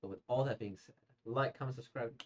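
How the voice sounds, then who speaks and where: A hoarse, slightly congested man casually reacting to manga he has read, rambling with many fillers and restarts. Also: mid-range voice, fairly quick, a little mellow, a little hiss or hum. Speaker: manga reader and reviewer. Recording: dull lo-fi sound.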